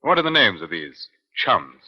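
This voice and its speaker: An actor playing a calm middle-aged police inspector in a 1950s radio crime drama, measured, formal and dryly probing. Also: male voice, on the low side, breathy edge. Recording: dull, band-limited old radio recording.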